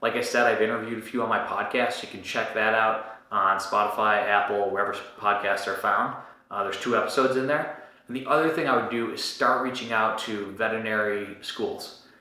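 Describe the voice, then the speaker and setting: A man's voice: on the low side, fairly even intonation, a little fast, pushed and bright. A man in his forties, a podcast host answering a listener's question in a direct, encouraging way that often checks in with "right?". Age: 40s. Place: Chicago. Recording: very echoey room.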